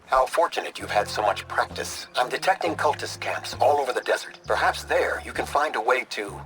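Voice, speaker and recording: A man's voice: medium pitch, expressive, a little fast, noisy background. An actor playing a smug male master hacker who speaks in a wry, precise, self-important way over a radio. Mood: dry, composed. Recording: game cutscene with music underneath.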